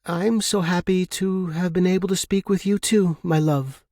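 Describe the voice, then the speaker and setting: Young woman, polite and lightly amused, performed by a male reader, raised pitch, story narration. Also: fairly even intonation, moderate pace, a little mellow, no echo, clean studio sound, natural voice. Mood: affectionate.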